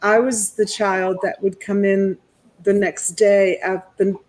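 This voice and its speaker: A middle-aged woman from Italy, whose first language is Italian, tells a personal story at a medium pitch. She hosts a casual live chat and rambles through her story with fillers and restarts. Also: level tone, slow pace, darkish tone. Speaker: host.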